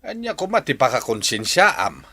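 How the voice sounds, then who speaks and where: A man playing a stern, gruff elderly grandfather with an Ilocano accent in a radio drama. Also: medium pitch, wildly swooping pitch, moderate pace, pushed and bright, full character voice. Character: stern older grandfather, husband.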